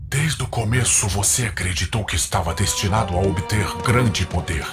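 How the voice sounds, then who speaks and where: A man playing a menacing, contemptuous demon lord in a fantasy audio drama, speaking in a slow, imperious manner. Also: lowish voice, natural voice. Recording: loud dramatic music behind.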